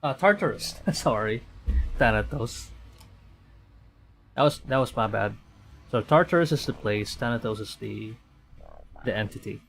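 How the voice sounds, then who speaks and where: A male streamer talks through a game in a casual, halting way. His pitch is average, and he moves between commentary and reading narration aloud. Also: expressive, gravelly, fairly bright tone, soft background music. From Philippines.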